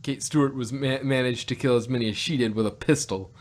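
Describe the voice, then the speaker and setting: A man with a mid-pitched voice chats casually as a podcast co-host. He rambles through his points, circling back to rephrase them, and slips into animated asides. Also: quick pace. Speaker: podcast co-host reviewing audio dramas. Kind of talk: casual unscripted chat.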